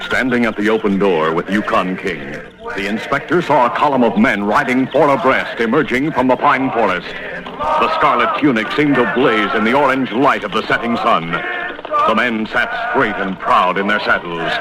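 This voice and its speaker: A man's voice: on the low side, moderate pace, close to an everyday voice, poor audio. A man narrating an old radio adventure with earnest, dramatic storytelling on a vintage recording.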